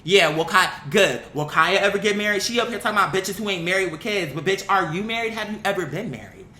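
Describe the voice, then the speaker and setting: A woman's voice: mid-range voice, lively intonation, quick pace, pushed and bright, slight background noise. A woman giving a casual, opinionated commentary rant, blunt, profane and indignant, reading comments aloud and repeating phrases for emphasis. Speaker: online commentator reacting to viewer comments. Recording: echoey, roomy sound.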